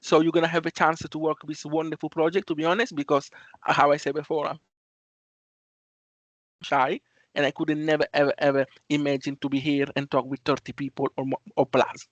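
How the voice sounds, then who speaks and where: A man's voice: a little high, flat delivery, fairly quick, no room sound. A young man with an Italian accent, a student intern presenting on a video call, speaking hesitantly with many restarts. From Italy. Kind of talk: online presentation. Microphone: video call mic, cutting in and out.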